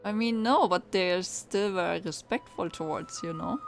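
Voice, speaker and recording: A woman's voice: lowish voice, moderate pace, faint music behind. A woman streamer reacting chattily to a game in casual commentary.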